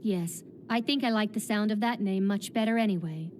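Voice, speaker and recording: A woman's voice: medium pitch, moderate pace, a little hiss or hum, close to an everyday voice. An actress playing a proud, resolute young female knight spirit in an anime dub, speaking formally and with composure. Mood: solemn, loyal. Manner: formal, composed, solemn diction. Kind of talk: anime English dub acting. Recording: loud dramatic music behind.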